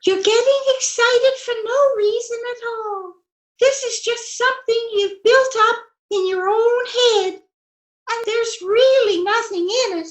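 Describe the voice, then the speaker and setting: A woman's voice: very high-pitched, slow. A woman playing a scatterbrained, innocently chatty wife in a re-created 1940s radio comedy. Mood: placating, breezy. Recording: roomy, echoey stage-like sound.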